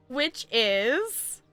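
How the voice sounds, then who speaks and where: Female game streamer, bright and fairly high voice, informal chatty commentary, music underneath. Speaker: video game livestreamer.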